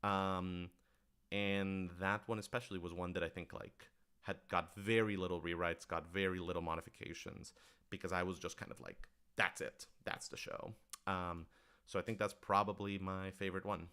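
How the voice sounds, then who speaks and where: A man's voice: low voice, little pitch movement, moderate pace, pushed and bright, clear, resonant tone. A man chatting casually on a livestream as a writer-director answering questions, rambling and thinking aloud, with frequent asides and acted-out reactions.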